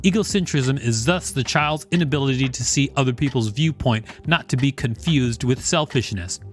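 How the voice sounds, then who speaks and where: A man's voice: medium pitch, moderate pace. Man reading an article aloud. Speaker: podcast host.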